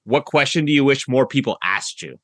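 A man hosts an interview show in a conversational way. His voice is mid-range and notably bright, with a crisp edge of energy. It is recorded dry and close. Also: medium pitch, lively intonation, fairly quick, no echo. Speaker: podcast host.

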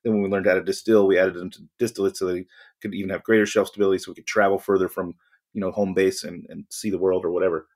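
A man co-hosts a podcast and eagerly explains background to his guest. He talks quickly and keeps the chat moving. His voice sits on the lower side. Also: level tone. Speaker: podcast co-host.

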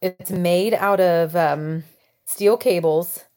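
A woman's voice: fairly low pitch, slow, a little mellow. A woman with an American accent chatting casually as a podcast co-host. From United States. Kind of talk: casual chat.

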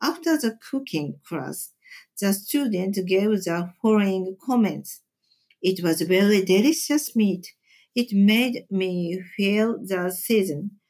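Slow, measured Japanese-accented woman. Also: mid-range voice, expressive, a little mellow. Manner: slow, deliberate, measured phrasing. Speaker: temple chef and cooking teacher. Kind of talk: interview answers, carefully read.

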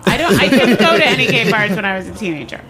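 A woman's voice: mid-range voice, expressive, quick pace, a loud crowd. An American woman from New Jersey chatting casually as a guest in a studio. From New Jersey, United States. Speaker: panel guest, erotica writer. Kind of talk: casual chat. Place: radio studio.

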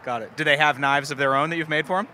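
A man's voice: fairly high pitch, steady tone, quick pace, pushed and bright. A man hosting a podcast who asks inquisitive interview questions outdoors, with frequent filler words. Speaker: podcast host. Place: outdoors at a food festival.

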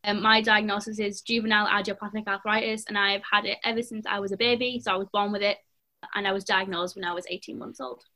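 A woman's voice: mid-range voice, little pitch movement, quick pace, sharp tone. A woman, chatting casually as a panel guest and filling her speech with "like" and "you know". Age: 20s. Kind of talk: casual chat.

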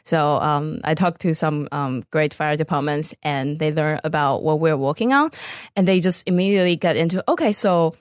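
A woman's voice: low-pitched, normal speed, no echo, studio quality. A woman from Taiwan with a Taiwanese accent, a native Mandarin speaker, casually explaining her work as an interview guest. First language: Mandarin Chinese. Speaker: startup co-founder, podcast guest.

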